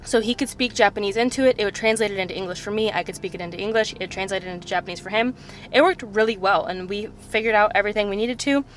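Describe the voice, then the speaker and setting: A woman's voice: medium pitch, quick pace, slight background noise. A young woman in her twenties chatting casually to her camera, recorded at home and then in a parked car, talking in a chatty, slightly self-conscious way with lots of fillers. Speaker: vlogger. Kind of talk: casual vlog chat. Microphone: camera on-board mic at arm's length.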